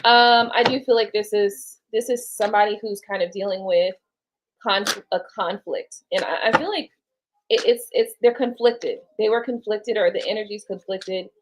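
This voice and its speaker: A woman's voice: medium pitch, steady tone, normal speed, low-quality recording. A woman reading tarot cards on a casual live stream, rambling and chatting to her viewers and to spirit. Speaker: tarot card reader.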